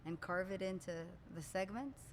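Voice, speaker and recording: A woman's voice: mid-range voice, moderate pace, slight background noise. Effusive Israeli woman in a reverberant room. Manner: effusive, affirming. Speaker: podcast host. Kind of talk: interview conversation.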